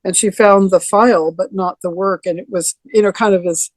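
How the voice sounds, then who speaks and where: Older woman, deeper voice, rambling video-call interview guest, dry close sound. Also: steady tone, moderate pace, no room sound, low-quality recording. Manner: rambling, many restarts and ums. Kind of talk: relaxed reminiscing over a video call.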